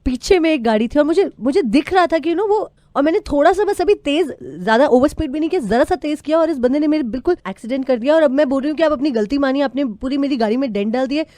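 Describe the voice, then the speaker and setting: A woman's voice: high-pitched, animated, fast, few pauses, dark tone, dry recording. A young woman, complaining on a phone call in a chatty, flustered way and mixing in English phrases.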